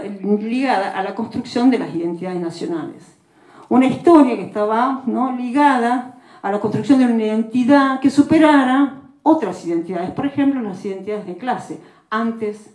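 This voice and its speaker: A woman's voice: mid-range voice, a little mellow. A woman giving an academic public lecture, reflective, often adding little tag questions. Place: lecture hall. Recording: echoey hall recording.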